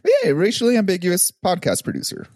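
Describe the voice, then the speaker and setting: A man's voice: high-pitched, wildly swooping pitch, fast, darkish tone, slightly rough, dry recording, clean studio sound. A man co-hosting a podcast, joking around and laughing often in casual chat. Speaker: podcast co-host and producer.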